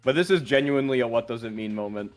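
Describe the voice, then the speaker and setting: A man's voice: medium pitch, animated, fast. A man playing a game in a casual, informal way, sounding a little puzzled. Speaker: game stream co-player. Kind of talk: casual chat and reading game dialogue aloud. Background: game music.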